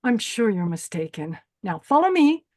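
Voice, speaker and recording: A woman's voice: medium pitch, very animated, normal speed, darkish tone, lightly acted. A woman playing a commanding, dismissive pageant host with a sinister edge, in a screenplay table read. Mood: coolly dismissive.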